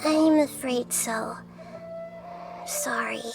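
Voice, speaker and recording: Slow, high-voiced wise child goddess. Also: female voice, music in the background. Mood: regretful. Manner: measured, thoughtful, softly hesitant. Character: gentle, wise childlike girl deity, thoughtful and grave. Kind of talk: video game character acting.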